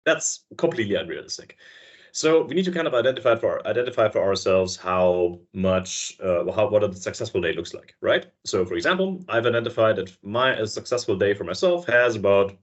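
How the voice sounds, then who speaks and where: A man guest on a podcast who explains his work fluently and step by step, in a relaxed, conversational way. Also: lowish voice, a little fast. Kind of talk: casual interview chat. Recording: dull lo-fi sound.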